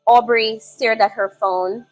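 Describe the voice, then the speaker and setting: Woman, fairly high voice, slow pace, solemn scripted storytelling, measured short phrases, faint music behind. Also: fairly even intonation, low-quality recording. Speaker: narrator. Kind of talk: scripted dramatic story narration.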